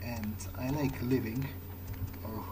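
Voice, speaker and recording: A man's voice: mid-range voice, fairly even intonation, slow pace, background noise, natural voice. A man playing a cautious, wry vampire fledgling in an improvised video game playthrough, thinking aloud hesitantly. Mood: musing, hesitant. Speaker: gamer playing in character. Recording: game music under the voice.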